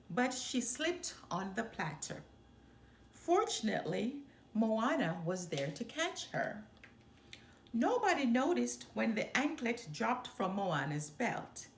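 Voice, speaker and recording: Slow, lively woman reading a storybook. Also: on the high side, echoey room. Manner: expressive, deliberate read-aloud delivery. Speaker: storytime host reading a children's book aloud. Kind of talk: scripted storybook narration.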